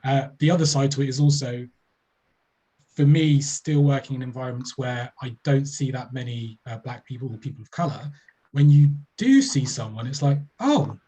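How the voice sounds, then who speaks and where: A man talks over a video call in a measured, reflective way, often pausing on fillers. His voice is mellow and dark, with a slight huskiness. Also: mid-range voice, slightly rough, rough recording. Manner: thoughtful, measured, with many fillers. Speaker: panelist. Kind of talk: webinar panel discussion.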